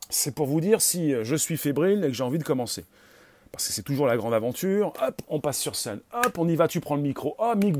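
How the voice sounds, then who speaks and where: A man hosting a livestream, talking casually to his viewers in an excitable, rambling, self-promoting way. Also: on the high side, no room sound. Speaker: livestream host.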